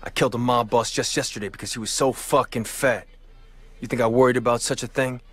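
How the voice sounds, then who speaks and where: A man's voice: mid-range voice, steady tone, fairly quick, a bit bright, husky, faint music behind. An actor playing a proud, contemptuous male restaurant owner in a video game cutscene.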